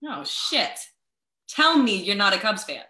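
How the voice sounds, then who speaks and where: A woman playing a brash, blunt, foul-mouthed new mother with joking warmth in a comedic play reading over a video call. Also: medium pitch, big pitch swings, unhurried, echoey room. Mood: chatty, blunt. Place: video call in an echoey room.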